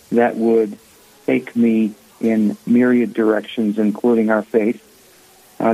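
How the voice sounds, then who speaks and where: A man's voice: medium pitch, dark tone, poor audio. A man in his sixties from the Boston area with a Boston accent, a guest speaking earnestly in a radio interview.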